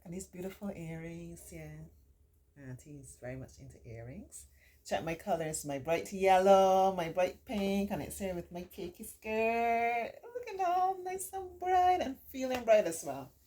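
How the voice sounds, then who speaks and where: A woman reading a picture book aloud to children, with encouraging, simply explained asides and expressive, drawn-out emphasis. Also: mid-range voice. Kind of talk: read-aloud story time with chatty asides to children.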